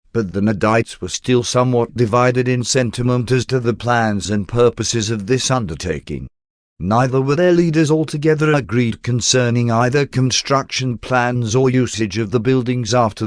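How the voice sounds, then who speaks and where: A man reads aloud in the style of a formal audiobook. His voice sits on the low side, and the delivery is measured and expository. The sound is dry, with little room around it. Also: no echo. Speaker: audiobook narrator. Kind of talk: scripted narration, reading a religious text aloud.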